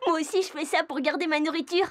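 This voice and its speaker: A woman's voice: high voice, steady tone, fast, lightly acted. A woman voicing a giggly cartoon puppy host. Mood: cheerful. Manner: cheerful, giggly. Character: friendly young female dog host. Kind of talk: French cartoon dubbing. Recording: music behind.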